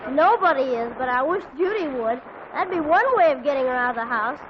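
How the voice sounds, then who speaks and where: Woman doing a cheeky kid brother, high and teasing, hissy old radio sound. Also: animated, fairly quick. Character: cheeky young boy, a wisecracking little brother. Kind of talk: 1940s radio comedy acting. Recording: hissy dull 1940s radio recording.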